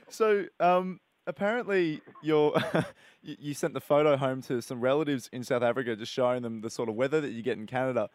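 A man's voice: mid-range voice, a little fast, dry recording. Radio co-host: man, joking banter, dry close studio mic. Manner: jokey, laughing, enthusiastic.

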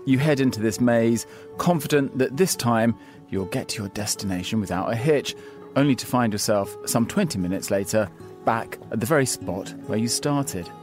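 A man reading his own scripted essay aloud in a measured, wry storytelling manner. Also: mid-range voice, lively intonation, music in the background. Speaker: columnist reading his piece. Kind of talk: scripted narration.

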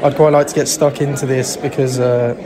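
A man's voice: medium pitch, little pitch movement, a little fast. A young man from Dubai giving a casual vox pop interview as a job seeker. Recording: busy crowd chatter around.

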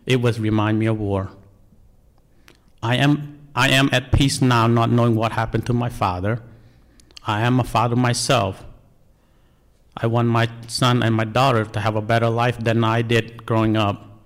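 Male, forties, Cambodian accent, medium pitch. Earnest, deliberate delivery of a prepared personal story in second-language English. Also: flat delivery. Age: 40s. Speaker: National Guard member telling life story.

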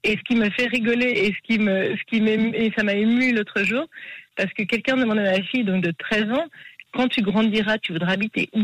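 A woman's voice: mid-range voice, a little fast, slight background noise, poor audio. Enthusiastic, talkative French woman. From France. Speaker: guest. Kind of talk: interview conversation.

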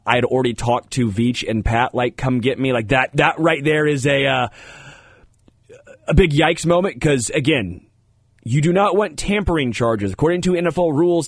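A man's voice: mid-range voice, no room sound. Congested American man hosting sports radio, close and dry. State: slightly congested. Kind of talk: sports talk radio commentary with casual asides.

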